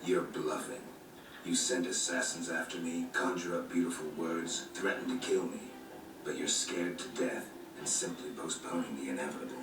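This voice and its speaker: An actor playing a stoic, tough monster hunter in a video game, terse and deadpan in a monotone. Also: male voice, sits low, normal speed, close to an everyday voice. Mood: cold, defiant. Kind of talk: video game cutscene acting. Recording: echoey game audio with music, distant playback.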